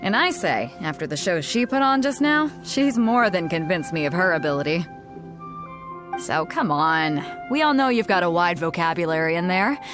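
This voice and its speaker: A woman's voice: on the low side, animated, moderate pace, music in the background, close to an everyday voice. An actress voicing a bold, confident female pirate captain in a video game who hosts a tournament with a brash, teasing manner. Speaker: ship captain and tournament host.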